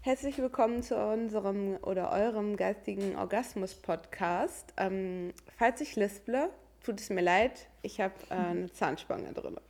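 A woman's voice: medium pitch, unhurried, hardly any pauses, darkish tone. Casual, enthusiastic woman podcast host.

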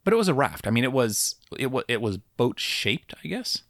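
A middle-aged man co-hosting a podcast, chatting casually in a relaxed, rambling way with halting restarts. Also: mid-range voice, no room sound, studio quality. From Puget Sound, Washington. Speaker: podcast co-host. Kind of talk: casual chat.

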